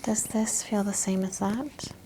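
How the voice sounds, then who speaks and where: Woman, ASMR exam roleplay, soft whispery delivery, gentle instructions, gravelly fry, faint background noise. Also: medium pitch, unhurried. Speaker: ASMR performer role-playing a medical examiner.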